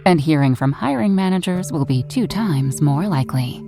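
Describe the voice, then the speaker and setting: Deep, creaky-voiced woman reading an ad. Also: lively intonation, moderate pace. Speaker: advertisement announcer. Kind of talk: scripted, reassuring ad read. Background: music.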